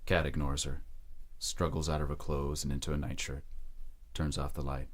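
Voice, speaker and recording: A man with a remarkably deep, bass voice reads scripted dramatic narration. He tells the story in the present tense, with measured and deliberate delivery. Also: flat delivery.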